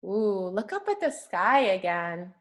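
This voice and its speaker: Slow-paced woman reading aloud to young children. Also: mid-range voice, big pitch swings. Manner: simple, encouraging, patient teacher talk. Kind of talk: interactive children's read-aloud with questions and praise.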